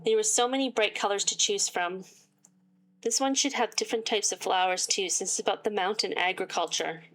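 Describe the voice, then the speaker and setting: A woman's voice: medium pitch, fairly quick, fairly bright tone, low-quality recording. Woman reading a game aloud, voice going. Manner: reads game text aloud and breaks off with sarcastic asides. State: losing her voice. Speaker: gaming YouTuber. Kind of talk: reading a visual novel aloud with commentary.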